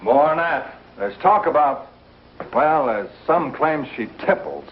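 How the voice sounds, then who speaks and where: Animated, easygoing frontier townsman. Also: on the high side, measured pace. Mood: reluctant. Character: easygoing, hesitant frontier townsman, mildly skeptical. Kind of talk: 1950s television Western acting. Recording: dull old TV soundtrack, faint noise.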